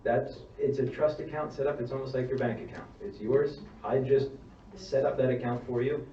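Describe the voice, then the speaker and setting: A man's voice: mid-range voice, fairly even intonation, moderate pace, warm tone. A man who works as a funeral director, answering audience questions at a seminar in a plain-spoken way, explaining step by step and restarting his sentences. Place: church hall seminar. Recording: distant mic, roomy hall, dull sound, faint hum.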